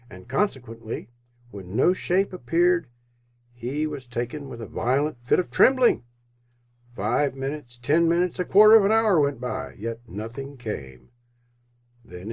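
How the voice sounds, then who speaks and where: A man's voice: medium pitch, a little mellow. A man narrating as a radio announcer, reading scripted old-time drama in a theatrical storytelling manner.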